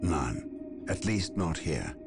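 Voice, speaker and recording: Game battle brother: zealous yet measured, formal, bottomless low register. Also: male voice, expressive, over music, full character voice. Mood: solemn. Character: solemn, zealous warrior-scholar battle brother, male. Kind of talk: dramatic video game acting.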